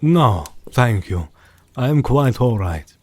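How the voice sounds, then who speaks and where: Guy playing a polite old-fashioned learned doctor in an audio drama, grave, slow, lots of pitch movement. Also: very animated, noisy background. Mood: courteous. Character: courteous, grave scholarly male doctor, Van Helsing.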